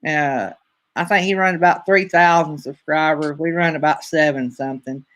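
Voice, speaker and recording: A man's voice: fairly high pitch, steady tone, moderate pace, fairly bright tone. A man with a Southern accent hosting a casual live-stream chat, rambling along with plenty of fillers. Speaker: host.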